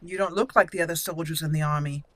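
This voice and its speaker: A woman voicing a solemn, proud female elf warrior in a roleplayed game, speaking formally and deliberately. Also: deep voice, steady tone, a bit bright. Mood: formal, curious. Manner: formal, measured, declarative.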